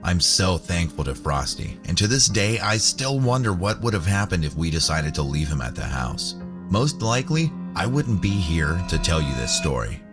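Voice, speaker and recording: A man's voice: deep voice, big pitch swings, normal speed. A man narrating a scripted first-person story. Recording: music bed under the voice. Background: background music.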